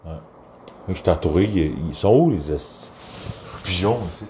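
A man's voice: sits low, animated, moderate pace, mellow, a little hiss or hum. A man from Quebec with a Québécois accent, giving a casual, rambling rant in a crude, profane, hesitant way. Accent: Québécois French.

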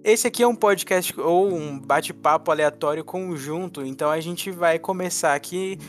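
A young man in his twenties hosts a loose, joking podcast chat and rambles on. His voice sits a little on the high side. Faint music plays underneath. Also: moderate pace. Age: 20s. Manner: rambling, joking, laughs easily. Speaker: podcast host. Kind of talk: casual chat.

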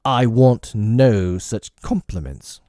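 A man voicing a proud, brooding, vengeful pirate captain from a storybook, with slow, drawn-out, dramatic delivery. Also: deep voice, animated, mellow, dry recording, studio quality. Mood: petulant.

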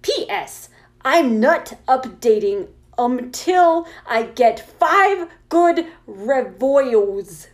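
A woman acts out a petulant teenage girl writer, defensive and scolding. Her high voice swings widely in pitch, like a melodramatic comic reading of an online story. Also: animated, very slow, exaggerated voice. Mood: indignant.

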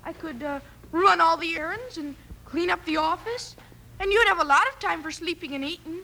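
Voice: very high-pitched, moderate pace. A performer playing an eager, stubborn fourteen-year-old boy in an old radio western, talking with pleading eagerness. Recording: slightly noisy old radio recording.

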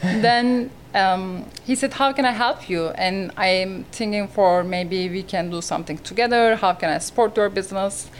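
Turkish-accented woman with faint background hiss. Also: medium pitch. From Turkey. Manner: earnest, halting non-native phrasing. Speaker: real estate agent. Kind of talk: podcast interview.

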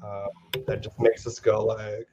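A man's voice: fairly low pitch, monotone, measured pace, gravelly, dark tone, dull audio. A man from the United States, a guest co-host of a podcast, talking casually and jokily with a lot of "like.". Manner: chatty, jokey, lots of "like". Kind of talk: casual chat.

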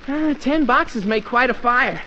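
A man's voice: very high voice, unhurried, bright, forceful tone, a little hiss or hum, full character voice. An actor playing an earnest, awkward, eager teenage boy in 1940s radio comedy style. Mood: busy, absorbed. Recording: dull, muffled 1940s radio recording.

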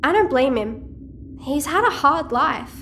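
A quirky teenage hacker girl, speaks in a high voice that swoops with cheeky energy. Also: animated, takes it slowly, a bit bright, a little hiss or hum, full character voice. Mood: friendly, teasing. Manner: cheeky, chatty, slangy. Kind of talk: audio-fiction drama dialogue.

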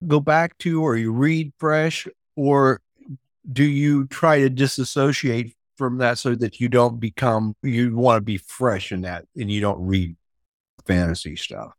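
A man's voice: medium pitch, a little slow, dry recording, studio quality. A man co-hosting a podcast, asking long, thoughtful, rambling questions. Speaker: podcast co-host. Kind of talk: casual interview questions.

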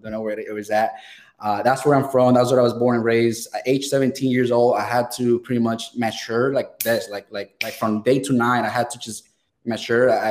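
A man's voice: medium pitch, a little mellow. A young man from Venezuela with a Venezuelan Spanish accent, a native Spanish speaker, telling his story eagerly as a podcast guest and often restarting his words. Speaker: guest, real estate sales professional. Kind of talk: casual podcast interview.

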